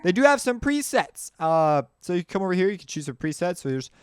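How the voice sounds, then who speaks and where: A man hosting a casual music software tutorial, talking in an informal, rambling way and often correcting himself as he goes. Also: high voice, very animated, fairly quick, smooth, no room sound, studio quality.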